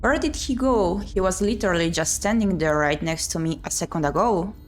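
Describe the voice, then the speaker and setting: A woman's voice: lowish voice, fairly quick. Woman narrating a game, noisy recording. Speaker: streamer reading a visual novel aloud. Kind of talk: casual playthrough commentary and narration. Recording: clear background noise.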